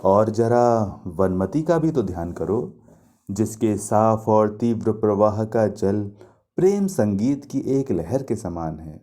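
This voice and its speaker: A man's voice: fairly low pitch, expressive, takes it slowly, dark tone. A man voicing a lovelorn, reproachful young woman in a mythological story, speaking in a poetic, pleading, emphatic way. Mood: tender, pleading.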